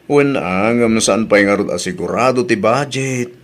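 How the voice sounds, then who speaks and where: A man's voice: on the low side, a little slow, close to an everyday voice. Man acting thrifty, grumbling Ilocano husband. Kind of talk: audio drama acting.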